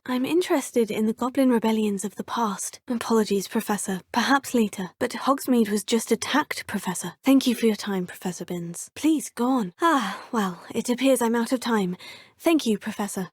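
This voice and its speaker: A woman voicing a poised, curious young witch student, speaking in polite, formal, measured game dialogue with a husky tone. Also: on the high side, dry recording, clean studio sound. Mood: composed. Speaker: player character. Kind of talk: video game voice lines.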